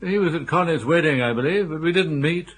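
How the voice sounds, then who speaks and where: An actor playing a vague, forgetful elderly upper-class English lord in a radio comedy, hesitant and muddled, often repeating himself. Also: male voice, a little high, brisk, a bit bright. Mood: absent-minded, bewildered. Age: older. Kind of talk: BBC radio comedy drama acting.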